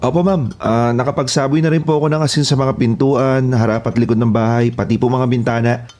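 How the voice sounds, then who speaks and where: Guy acting as a humble houseboy in a horror audio story, soft mellow voice, very respectful and eager. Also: mid-range voice, steady tone, brisk, natural voice. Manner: deferential, eager to report. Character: polite, obedient male houseboy and gardener.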